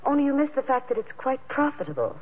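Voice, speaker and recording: An actress playing a haughty, scheming high priestess with a cool, formal, imperious manner in an old radio drama. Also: female voice, big pitch swings, fast talker, husky, heavily acted. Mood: cool, smug. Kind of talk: 1940s radio drama acting. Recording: narrow vintage radio recording, faint music.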